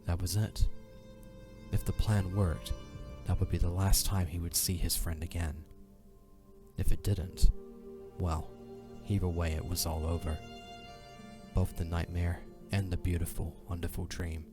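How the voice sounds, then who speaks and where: A man giving a dramatic scripted narration in a solemn, measured way. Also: sits low, level tone, music in the background.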